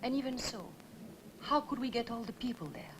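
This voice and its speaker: A woman's voice: a little high, animated, a little fast, noisy background, close to an everyday voice. An actress in a 1960s film drama playing a stubborn, principled woman with a composed, reproachful manner. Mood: reproachful, firm. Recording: old film soundtrack with music.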